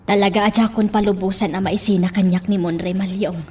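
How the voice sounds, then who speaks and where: A woman acting a stubborn, possessive character with a husky voice in an Ilocano radio drama. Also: mid-range voice, steady tone, fairly quick, warm tone, slight background noise, natural voice. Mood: defiant. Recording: distant microphone.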